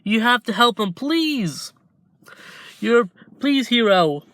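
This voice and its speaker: A man voicing a desperate, tearful young boy with a high, strained, pleading voice. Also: sing-song, slow, fairly bright tone, full character voice.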